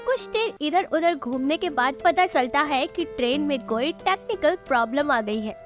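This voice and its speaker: A woman narrates a scripted moral story. Her voice is high-pitched, and music is clearly heard behind her. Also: lively intonation, normal speed.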